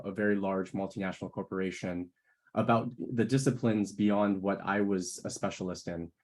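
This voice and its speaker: A man's voice: lowish voice, a little mellow. An American man, a current student, sharing his experience in a casual, conversational way with many fillers and restarts, over a video call. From United States. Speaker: current scholar and student speaker. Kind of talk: info session talk on a video call.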